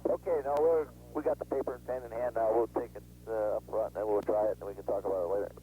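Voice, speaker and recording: A man's voice: lowish voice, fairly even intonation, fast, dark tone, slightly rough. An American man, a spacecraft pilot, speaking in terse procedural readbacks over a radio transmission. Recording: crackly, phone-like air-to-ground radio.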